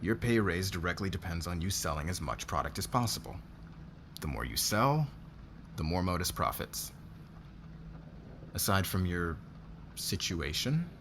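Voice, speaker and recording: A demanding male boss played by a man in an intimate ASMR roleplay, speaking in a low register over noticeable background noise. He is commanding and possessive, flirting smugly while keeping his guard up. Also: lively intonation, normal speed, pauses often. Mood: guarded, earnest. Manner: commanding, smug, intimate, swearing.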